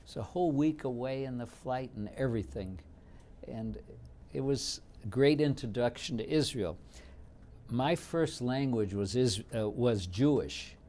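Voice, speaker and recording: A man in his seventies from Detroit, whose first language was Yiddish, recalling his past in an unhurried oral history interview with frequent fillers. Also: medium pitch, dark tone, slight background noise. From Detroit, United States. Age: 70s.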